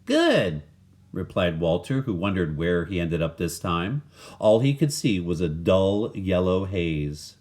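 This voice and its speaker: Male storybook narrator, lowish voice, unhurried and highly animated in pitch. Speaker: storybook reader. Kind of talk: expressive scripted children's story narration.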